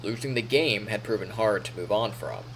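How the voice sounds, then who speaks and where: A man's voice: on the low side, normal speed, vocal fry, a little hiss or hum. A man live streaming, reading story narration aloud in a playful, theatrical way with casual asides.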